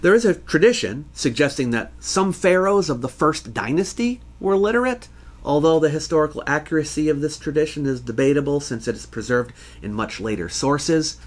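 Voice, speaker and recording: A man giving scripted educational narration in a measured, formal way with precise enunciation, as the host of a history lesson. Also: on the high side, animated. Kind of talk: scripted educational narration answering listener questions.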